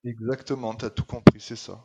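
A middle-aged man from Réunion with a Réunionese French accent tinged with Québécois, talking in an emphatic, lively way and repeating words for stress. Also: medium pitch, normal speed, vocal fry, heavy background noise. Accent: Réunionese French with some Québécois color. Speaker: guest. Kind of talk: casual conversation.

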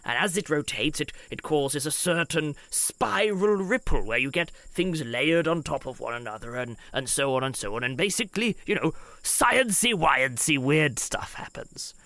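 A man's voice: a little high, lively intonation, moderate pace, hardly any pauses, pushed and bright, no echo. A man playing a polite, eccentric scientist who rambles hesitantly in an improvised tabletop game. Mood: earnest, rambling. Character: fussy, polite, eccentric male scientist investigator. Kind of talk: improvised tabletop role-play.

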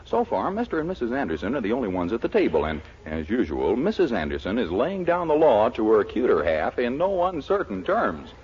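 A man's voice: medium pitch, huge pitch swings, a little fast, few pauses, slightly rough, exaggerated voice. An American man narrating a scripted 1940s radio comedy as its announcer. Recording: dull, band-limited 1940s radio recording.

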